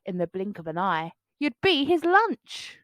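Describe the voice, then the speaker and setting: Woman playing stern, dramatic mother dinosaur, unhurried. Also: mid-range voice, very animated, a bit bright, dry recording. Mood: ominous warning. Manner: dramatic, slow warning. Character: stern, cautioning mother dinosaur warning her child.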